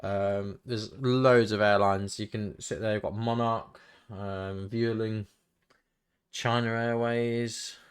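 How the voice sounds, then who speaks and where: Low-voiced man giving a tutorial. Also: fairly even intonation, slow, clean tone. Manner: informal, hesitant, thinking aloud. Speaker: tutorial host. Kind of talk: casual screen-recorded software walkthrough. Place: indoors, voice-over for screen recording.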